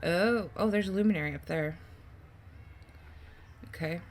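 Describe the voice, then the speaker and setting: A woman's voice: lowish voice, measured pace. A woman giving casual let's-play commentary, thinking aloud and reacting as she plays. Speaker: gameplay host.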